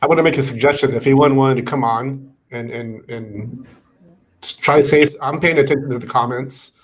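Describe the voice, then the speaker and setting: An American man co-hosting a casual livestream, speaking in a halting way with restarted phrases. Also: medium pitch, fairly even intonation, a little hiss or hum, poor audio. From United States. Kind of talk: casual group livestream chat.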